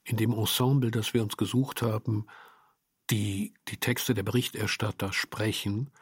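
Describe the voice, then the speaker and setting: Low, husky man speaking in winding sentences. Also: steady tone, measured pace, no room sound, clean studio sound. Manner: intellectual, long winding formal sentences. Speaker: radio play maker. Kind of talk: reflective interview statement.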